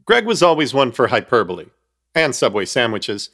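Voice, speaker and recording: A man's voice: mid-range voice, sing-song, normal speed, a bit bright, faint music behind. A man narrating a comic horror radio play in an ornate, mock-grave, wry storytelling manner.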